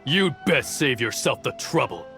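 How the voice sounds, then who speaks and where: An actor playing a smug, threatening soldier in a game. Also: male voice, mid-range voice, big pitch swings, unhurried, sharp tone, faint music behind, full character voice. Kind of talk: game voice acting.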